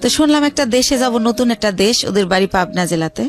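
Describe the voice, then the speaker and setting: A woman from Bangladesh telling her life story as a radio show guest, hesitant, with many pauses and fillers. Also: a little high, expressive.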